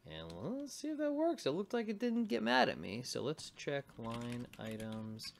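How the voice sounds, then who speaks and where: A man's voice: a little high, huge pitch swings, moderate pace, vocal fry, slight background noise. A man giving an informal coding tutorial, muttering to himself and thinking aloud as he works on screen. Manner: mutters to himself while typing. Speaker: programming tutorial presenter.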